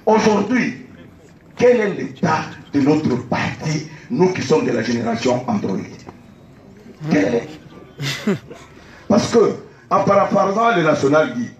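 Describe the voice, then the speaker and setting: A man's voice: on the high side, big pitch swings, slow, long pauses, highs cut off. A man from the Democratic Republic of the Congo, giving argumentative debate answers with rhetorical questions on an outdoor tent stage. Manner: argumentative, rhetorical questions, repeats key points. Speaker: candidate for party president. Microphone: phone recording from the audience. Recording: echoey, distant phone recording.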